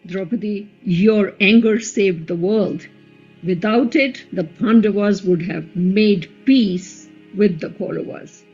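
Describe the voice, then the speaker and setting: Mellow, slow, wise epic queen played by a woman. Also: medium pitch. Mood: grave. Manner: measured, reflective. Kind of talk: dramatic play reading, radio play. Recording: muffled recording with music behind.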